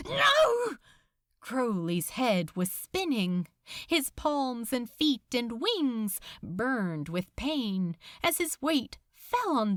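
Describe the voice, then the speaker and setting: A woman reading fiction aloud as a narrator, in a theatrical, intense and deliberate manner. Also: on the high side, no room sound, studio quality. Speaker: podfic narrator. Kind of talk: dramatic scripted fiction narration.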